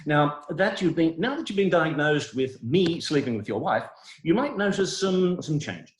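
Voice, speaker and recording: A man's voice: fairly high pitch, expressive, moderate pace, reverberant, slight background noise, natural voice. A man playing a smug, shameless doctor with a grave, hesitant clinical manner in a comic play reading over a video call. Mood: smug, patronizing. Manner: hesitant, mock-solemn clinical delivery.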